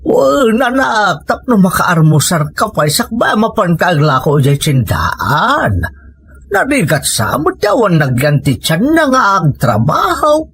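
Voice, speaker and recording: A woman's voice: fairly low pitch, wildly swooping pitch, a little slow, a bit bright, breathy edge, dry recording, exaggerated voice. A woman with a Filipino accent playing a grumbling old village father in an Ilocano audio comedy drama. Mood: caring.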